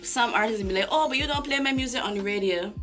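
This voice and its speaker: A woman's voice: fairly high pitch, fast, music in the background. A woman from Barbados hosting an interview, listening attentively and murmuring agreement often. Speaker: host.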